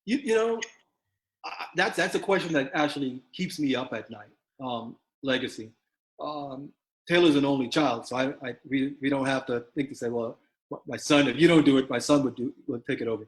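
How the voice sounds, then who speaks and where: Middle-aged father, interview guest; stumbling, sincere delivery, average pitch. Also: rough recording. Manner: halting, many restarts and fillers, earnest. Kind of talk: reflective conversational interview.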